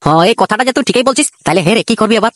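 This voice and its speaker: A voice artist doing fast-talking cartoon soldiers. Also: mid-range voice, animated, a bit bright, dry recording, studio quality, natural voice. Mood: panicky. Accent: Purulia Bengali. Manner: rapid, chatty, panicky banter. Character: two jittery young male soldiers, comic cowards. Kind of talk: comic cartoon voice acting.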